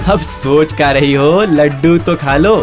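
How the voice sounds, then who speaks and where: An upbeat village friend, acted by a man in a radio play, speaking slowly in rustic north Indian speech. He is folksy and encouraging, with music behind him. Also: on the high side, close to an everyday voice. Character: cheerful, encouraging blind villager and family friend. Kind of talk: Hindi radio drama acting.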